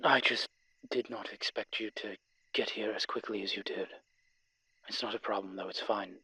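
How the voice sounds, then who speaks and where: A weary male demon played by a man speaks in a low, very husky and breathy voice. He is guarded and dry, picking through halting phrases in an intimate audio roleplay, recorded close with no room sound. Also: steady tone, pushed and bright, dry recording, heavily acted, low-quality recording. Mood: flustered, reluctant.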